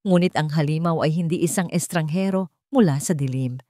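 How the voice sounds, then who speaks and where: Low-voiced woman narrating a dramatic story. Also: a little fast, dark tone, no echo, clean studio sound.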